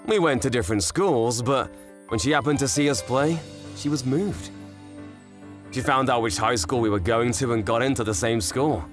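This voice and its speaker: A man voicing a cocky, good-natured teenage boy in a manga dub, casual and expressive. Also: mid-range voice, normal speed, soft background music, lightly acted. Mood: reflective. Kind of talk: manga dub narration and dialogue.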